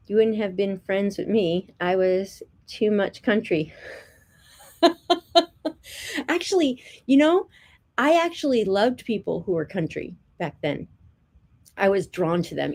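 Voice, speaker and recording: A woman's voice: medium pitch, creaky, darkish tone. A middle-aged woman from New Jersey, talking in a casual, earnest testimony to the camera, chatty and repeating herself with many "um"s. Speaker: vlogger.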